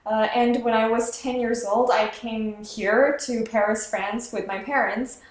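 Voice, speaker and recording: A woman's voice: a little high, steady tone, measured pace, roomy sound, low-quality recording. A young woman from China, a former student, listing her school subjects carefully, with many uhs. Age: young adult.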